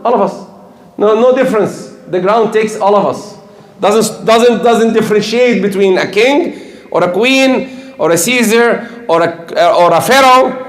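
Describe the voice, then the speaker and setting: An older man preaching a sermon in English, emphatic and repeating his key points. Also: high voice. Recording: echoey room.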